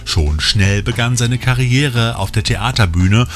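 A man's voice: mid-range voice, unhurried. A man presenting scripted film and release news as a podcast host, with wry asides addressed to the listeners. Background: music under the voice.

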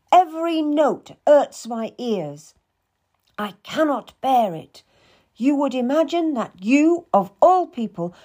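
A woman reading puts on a pregnant young noblewoman with a vicious temper. Her voice climbs high and loud, and she lingers over each accusation. Her pitch swings widely as she scolds. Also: exaggerated voice. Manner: shrill, scolding, drawn-out accusations. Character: imperious young noblewoman, pregnant, volatile and cruel.

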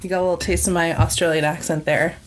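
A woman's voice: fairly low pitch, level tone, fast, a little hiss or hum. A woman co-hosting a film podcast, talking in a playful, teasing way. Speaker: podcast co-host. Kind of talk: casual film podcast chat.

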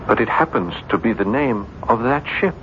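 An actor playing a tormented gentleman in a 1970s radio drama, pleading and agitated, insistent that an evil spirit is after him. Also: medium pitch, lively intonation, normal speed, natural voice. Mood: anxious, insistent. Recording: muffled, very noisy 1970s radio recording.

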